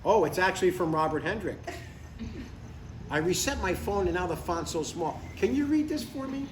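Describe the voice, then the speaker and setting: A man's voice: high-pitched, lively intonation, normal speed. A man in his sixties playing an earnest, stubborn father who gets defensive, in a live comedy table read. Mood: upbeat, earnest. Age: 60s. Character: earnest, stubborn sixty-two-year-old father chasing a writing career. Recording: echoey live room, distant mic, faint noise.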